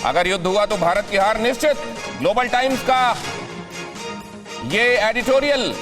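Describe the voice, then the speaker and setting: A man's voice: high voice, normal speed. A man with an Indian accent presenting television news dramatically and emphatically, repeating phrases for effect. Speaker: TV news anchor. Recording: loud dramatic music bed under voice. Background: news music.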